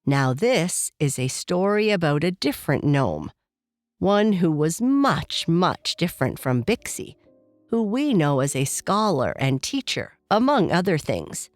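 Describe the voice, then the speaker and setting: A woman reading a scripted children's bedtime story as narrator, in a gentle, unhurried manner. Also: lowish voice, sing-song, no room sound, clean studio sound, soft background music.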